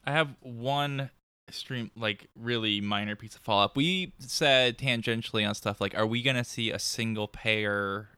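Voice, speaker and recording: A man talking casually on a podcast, chatty and quick to laugh. His voice is bright and clear. The sound is dry and close. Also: mid-range voice, no echo. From New York state. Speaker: podcast host. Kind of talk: casual chat recapping a TV episode.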